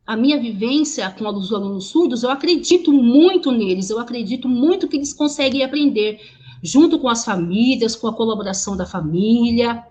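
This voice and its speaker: A woman interpreting sign language into speech over a video call, halting, with many restarts and repeated words. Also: a little high, lively intonation, dark tone. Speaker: sign language interpreter voicing a deaf teacher.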